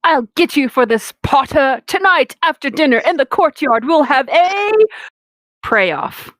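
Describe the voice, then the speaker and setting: A woman's voice: high voice, animated, measured pace, a bit bright, no room sound, pitched above the performer's own voice. A woman voicing a sanctimonious, petulant schoolboy in a comedic fan fiction read-aloud, declamatory and threatening. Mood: petulant threat.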